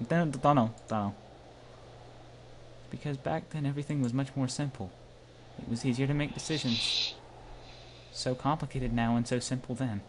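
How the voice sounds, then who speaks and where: A man with a mid-pitched voice reads visual novel narration aloud as an amateur let's-play co-host. He drops in joking asides. The home recording has noise behind him. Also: low-quality recording.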